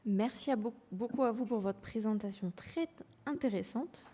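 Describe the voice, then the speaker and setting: A woman moderates a conference session in a soft, slightly high, husky voice. She sounds distant, her words trailing into a long hall reverb. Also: moderate pace.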